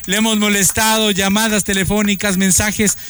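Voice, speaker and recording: A man's voice: sits high, little pitch movement, normal speed, bright, forceful tone, a little hiss or hum. A man reporting live for radio from a town park, speaking effusively with many hesitations and restarts. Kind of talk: live radio remote broadcast. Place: outdoors in a town park, in the rain.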